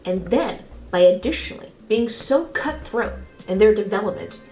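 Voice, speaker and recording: A woman's voice: medium pitch, animated, normal speed, dark tone. A woman hosting a semi-scripted race review, speaking in an informal, opinionated and emphatic way. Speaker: motorsport video creator and host. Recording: roomy echo with faint music behind.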